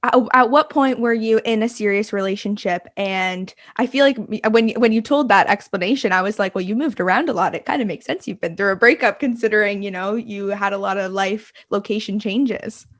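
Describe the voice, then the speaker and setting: A woman hosting a podcast interview, enthusiastic and affirming, reacting warmly to her guest's answers. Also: mid-range voice, a little fast, hardly any pauses. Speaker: podcast host. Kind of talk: casual conversational interview.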